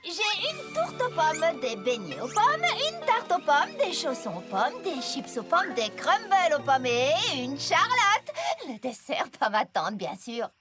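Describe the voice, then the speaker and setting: Hearty, high-voiced farm pony. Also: female voice, wildly swooping pitch, a little slow, hardly any pauses, exaggerated voice. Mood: eager, coaxing. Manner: enthusiastic, plain-spoken. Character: hearty, eager young female farm pony. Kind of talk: cartoon dub acting. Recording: music behind the voice.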